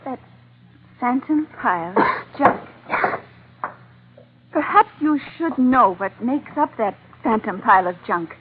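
A woman's voice: on the high side, lively intonation, slow, pauses often, noisy background. An actress playing an earnest, distrustful young Chinese woman who speaks formally and carefully in an old-time radio drama. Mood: solemn. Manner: formal, careful speech without contractions. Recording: tinny old radio broadcast.

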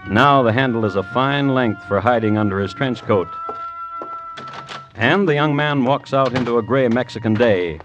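An actor narrating an old-time radio crime drama as a wry, formal chronicler, measured and dry. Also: male voice, mid-range voice, close to an everyday voice. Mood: measured, ominous. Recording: dull vintage radio recording, music behind.

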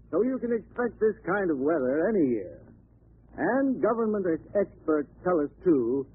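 A man's voice: on the high side, breathy edge. A man reading a scripted 1940s radio commercial as a spokesman, formal, authoritative and explanatory. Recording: narrow-band, faintly noisy old radio broadcast.

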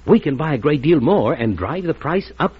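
An actor playing a cool, scheming financier in an old-time radio drama, with a husky voice. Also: male voice, a little high, sing-song, normal speed, heavily acted. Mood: smooth, scheming. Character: cool, calculating male financier, scheming businessman. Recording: muffled vintage radio recording.

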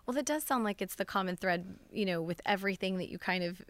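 A woman from Texas hosting a podcast, chatting casually and brightly with her guest. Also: mid-range voice, quick pace, no room sound. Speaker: podcast host. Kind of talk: casual interview chat.

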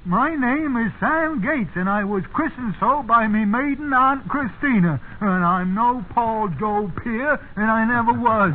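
Old English countryman, high-pitched, indignant, rustic, hesitant, narrow vintage radio sound. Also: measured pace, few pauses. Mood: confused, indignant. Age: older. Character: bewildered, indignant old English farmer, stubborn and plain-spoken. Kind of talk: 1950s radio acting. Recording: narrow, hissy 1950s radio broadcast.

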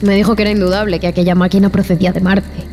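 A woman's voice: medium pitch, flat delivery, racing, warm tone, natural voice. Haunted woman zoologist played by an actress, reverberant horror drama. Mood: haunted, fearful. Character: frightened, repulsed female expedition zoologist recounting horrors. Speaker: narrator and lead actress. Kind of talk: dramatic first-person horror audio drama narration. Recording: long reverb, music behind.

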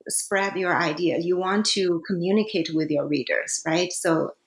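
A woman's voice: fairly low pitch, unhurried, roomy sound, poor audio. A woman whose first language is not English, talking about writing her book as a guest author, hesitant with many fillers and restarts. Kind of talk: academic interview.

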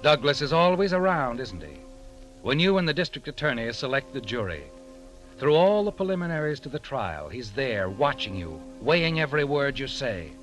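An actor narrating an old-time radio thriller in a suspenseful, pointed manner, addressing the listener directly. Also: male voice, mid-range voice, very animated, moderate pace, fairly bright tone, rough recording. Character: second-person radio narrator, insistent voice addressing Joseph. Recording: old radio recording with music behind.

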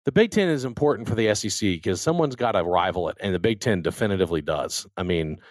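A man's voice: lowish voice, expressive, fast talker, dry recording, clean studio sound. An American man hosting a show with casual sports banter, talking emphatically and repeating his phrases for effect. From Louisiana and Texas, United States. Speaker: talk show host.

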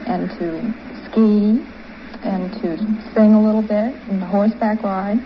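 A woman's voice: medium pitch, steady tone, measured pace. An American woman in her twenties chatting casually and candidly as a quiz show contestant, with a playful streak. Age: 20s. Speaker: quiz show contestant, amateur tennis player. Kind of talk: casual interview chat. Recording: muffled, faintly noisy vintage radio recording.

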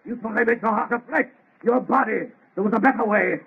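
Raspy, high-pitched vengeful monster. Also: male voice, a little fast, heavily acted. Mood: bitter, gloating. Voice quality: raspy, gravelly. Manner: grandiose, declamatory. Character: vengeful, mocking monster made by a scientist, bitter and theatrical. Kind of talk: 1940s-style radio horror acting. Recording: muffled lo-fi old radio recording.

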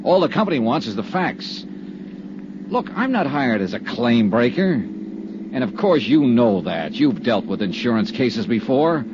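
An actor playing a tough, sardonic American insurance investigator with brisk, clipped delivery in a 1950s radio drama. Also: male voice, medium pitch, very animated, noisy background. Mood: challenging, sardonic. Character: wry, tough, persistent male insurance investigator. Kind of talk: 1950s radio drama acting and scripted narration. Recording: dull vintage 1950s radio recording.

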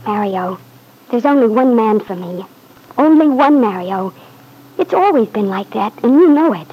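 A young wife in an old-time radio drama, devoted and worried. Her voice sits fairly high with a husky edge. She pleads earnestly for trust in a classic radio acting style. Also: lively intonation, normal speed, narrow, tinny audio. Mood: earnest pleading. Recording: husky-sounding vintage radio recording.

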